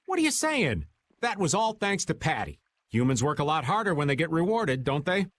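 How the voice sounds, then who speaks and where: A man's voice: a little high, sing-song, brisk, a bit bright. An actor playing a playful, sly older man, a joking veteran adventurer in a video game. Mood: playful, teasing. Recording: music under the voice.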